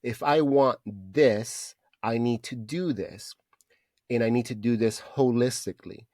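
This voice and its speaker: A man's voice: mid-range voice, measured pace, darkish tone. Dude in his 30s chatting on a podcast, dry no-echo sound, mid voice, repeats stuff for emphasis. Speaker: podcast co-host. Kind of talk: casual chat.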